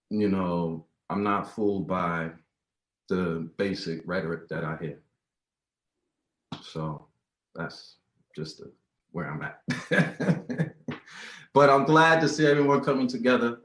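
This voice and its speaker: A man speaking on a panel discussion, reflective and hesitant, pausing often. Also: deep voice. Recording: echoey room.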